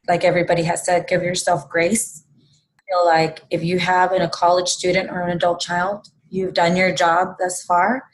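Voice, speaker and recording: A woman's voice: on the low side, a little hiss or hum, low-quality recording. A woman guest in a casual interview, earnest and reassuring, often laughing between thoughts. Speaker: guest mother.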